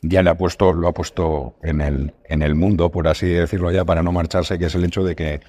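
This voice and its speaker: A deep-voiced man with a Spanish accent from Spain talks as a guest in a casual interview. He rambles as he explains things and pokes fun at himself. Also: fairly even intonation, brisk, a little mellow. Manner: rambling, self-deprecating. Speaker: podcast guest, writer and creator of audio fiction.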